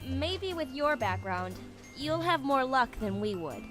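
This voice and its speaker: A woman's voice: high-pitched, big pitch swings, unhurried. A woman playing a blunt, businesslike young female team leader in a game, speaking in a dry, matter-of-fact way. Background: game music.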